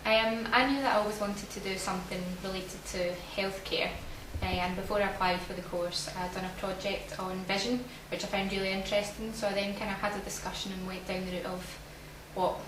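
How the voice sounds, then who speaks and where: A young woman from Glasgow with a Scottish accent, a student giving measured interview answers with fillers. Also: mid-range voice, level tone, distant mic. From Glasgow, Scotland. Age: young adult. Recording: echoey room, from afar, noisy.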